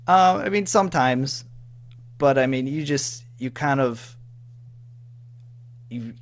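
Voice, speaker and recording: A man being interviewed in casual chat, speaking haltingly, repeating words and trailing off. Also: medium pitch, animated. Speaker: audio drama writer-producer, interview guest. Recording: faint background noise and hum.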